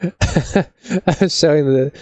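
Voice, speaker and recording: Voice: mid-range voice, slow, a little mellow, dry recording. A laid-back, slangy live streamer of unclear sex, chatting casually with mock seriousness. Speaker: video game live streamer.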